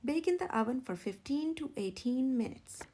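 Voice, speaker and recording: A woman's voice: sits high, animated, normal speed, dark tone. A woman voicing a cautious, bossy turtle in a children's storybook, speaking in a careful, instructive manner. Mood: firm, instructive.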